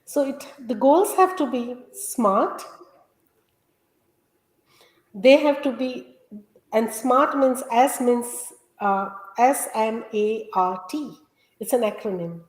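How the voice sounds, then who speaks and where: This woman talks in an earnest, explanatory way, her voice pitched a little high. The recording has a roomy, echoey sound. She speaks as a guest, steadily walking the listener through her points. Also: expressive, slow pace. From India. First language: Gujarati. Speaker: guest, health coach. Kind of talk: conversational interview.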